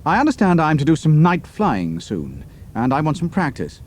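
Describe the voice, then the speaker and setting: A man's voice: on the high side, huge pitch swings, moderate pace, darkish tone, voice pushed up. A man voicing a cool, resourceful young British airman undercover in an audiobook, with clipped, casual officer talk. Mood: brisk, casual. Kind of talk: audiobook character acting.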